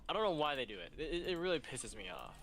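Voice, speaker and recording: Bright-voiced man in casual gaming chat. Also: fairly high pitch, very animated, quick pace. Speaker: co-player chatting on the stream. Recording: faint background noise.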